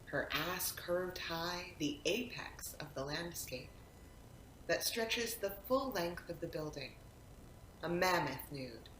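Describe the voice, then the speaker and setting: A woman reciting poetry expressively, in a deliberate manner with dramatic pauses. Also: animated, fairly bright tone. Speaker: poetry reader, actor. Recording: roomy echo, faint rumbling background noise.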